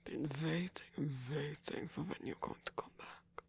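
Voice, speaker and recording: A man voicing a stern, protective guardian, measured and formal, in an ASMR story. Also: on the high side, vocal fry, slightly rough, heavily acted. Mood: softening, wry. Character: stern, protective male guardian of a young vampire. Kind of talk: ASMR roleplay acting.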